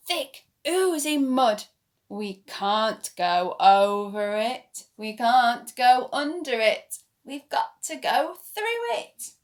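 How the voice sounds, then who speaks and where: A woman reads a picture book to young children in a high voice, very slowly and deliberately. She performs it expressively, like a nursery storyteller leading a chant. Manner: animated storytelling with dramatic whispers and gasps.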